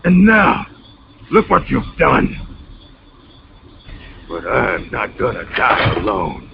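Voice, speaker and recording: An actor playing a desperate, vengeful, unhinged military officer villain in a video game, with a strained, creaky voice. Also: male voice, mid-range voice, huge pitch swings, takes it slowly, dull audio, noisy background, full character voice. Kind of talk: dramatic video game cutscene acting.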